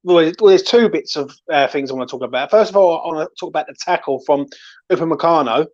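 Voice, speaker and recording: A man's voice: fairly high pitch, fast, a little mellow, lo-fi sound. A man hosting casual football chat, emphatic and opinionated, repeating himself to stress his points. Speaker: host of a football discussion show.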